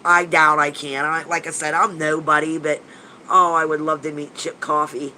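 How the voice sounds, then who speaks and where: A man in his fifties talks with a bright, pressed-forward edge, a little high for a man. He sounds stuffy and nasal, and he rambles and gushes, often restarting his sentences. A faint hiss sits under his voice. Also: level tone, normal speed. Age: 50s. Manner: rambling and gushing, with frequent restarts and casual swearing. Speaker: paranormal investigator hosting a live chat. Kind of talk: casual livestream chat answering viewers.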